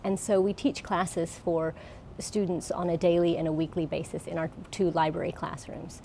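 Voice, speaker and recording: Mellow low-voiced woman explaining library services. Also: level tone, moderate pace, slight background noise. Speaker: college librarian, interview guest. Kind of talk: informative interview talk.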